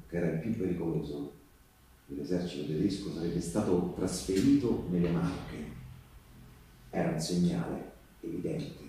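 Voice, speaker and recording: Very reverberant stage recording: low, dark-voiced male partisan recounting the war, emphatic, with deliberate pauses. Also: slight background noise. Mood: grave, confiding. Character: male wartime partisan recounting resistance memories to Teresa. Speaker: theatre storyteller. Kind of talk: live theatrical narrative monologue. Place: live theatre performance with live music. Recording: very echoey stage recording, distant, music behind.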